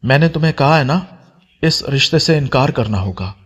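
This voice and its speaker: A man narrator plays an angry young man from a romance audio story. He is commanding and menacing, in a mid-pitched, slightly husky voice. The recording is a little dull. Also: expressive, normal speed. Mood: angry threatening. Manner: commanding, threatening.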